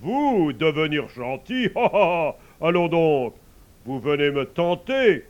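Man putting on a loud stern old pope. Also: sits high, lively intonation, slow pace, lo-fi sound, pitched above the performer's own voice. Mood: stern grandeur.

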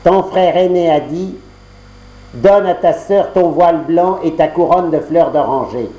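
A man voicing a sly servant who drawls slowly, in an echoey room. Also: fairly high pitch, dark tone, pitched above the performer's own voice, close to an everyday voice. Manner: slow and drawn out.

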